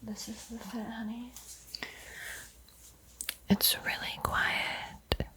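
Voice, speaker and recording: A woman giving an ASMR art tutorial in a breathy whisper, with a soft, unhurried, playfully eerie and teasing manner. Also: fairly high pitch, level tone. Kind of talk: whispered ASMR painting tutorial with spooky roleplay.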